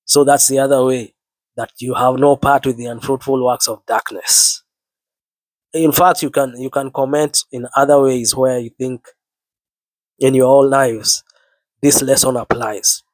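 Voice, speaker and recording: A man with the flu delivers an earnest sermon to his online viewers in a voice that sounds low and worn from illness. He leans on biblical phrasing and repeats his words. His pitch is ordinary for a man, and the recording is close and dry. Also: steady tone, measured pace, no echo, poor audio. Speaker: online preacher. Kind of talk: spoken sermon to camera.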